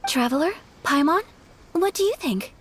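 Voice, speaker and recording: A woman voicing a polite, earnest young noble lady in a game cutscene, speaking formally and softly. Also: high voice, animated, moderate pace, background noise. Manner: formal, soft-spoken. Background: faint game music.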